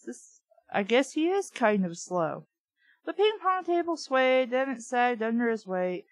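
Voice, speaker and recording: A woman's voice: fairly high pitch, big pitch swings, measured pace, no room sound, soft background music. A woman reading a story aloud, breaking in with blunt, sarcastic, casual asides. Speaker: audiobook reader and commentator.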